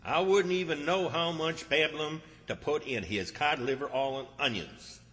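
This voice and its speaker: A man's voice: a little high, moderate pace, pushed and bright. A man playing an exasperated, scheming country fellow in old-time radio comedy style, with a folksy, fussy manner. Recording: reverberant hall stage recording.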